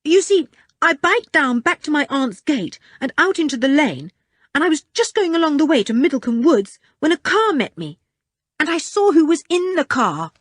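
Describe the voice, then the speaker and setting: A woman reading a panicky, frightened young boy in an audiobook, with shrill, breathless pleading. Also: very animated, normal speed, no echo, studio quality.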